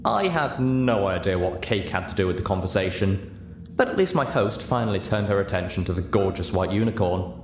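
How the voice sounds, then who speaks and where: A man's voice: low voice, expressive, a little fast. A man reading a first-person story aloud as scripted audiobook narration. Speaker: audiobook reader. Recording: roomy echo, clear background hiss.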